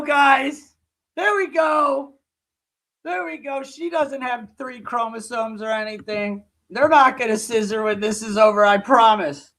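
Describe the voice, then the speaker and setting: A man's voice: very high-pitched, fairly bright tone. A man hosting a livestream, giving mocking live reaction commentary with a sore throat. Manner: mocking, laughing ranting. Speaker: livestream host.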